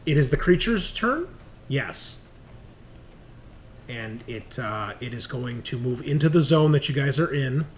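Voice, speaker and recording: A man's voice: medium pitch, animated, measured pace, long pauses. A man running a tabletop roleplaying game, casually explaining rules and narrating the action with some swearing. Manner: casual, profane. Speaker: game master.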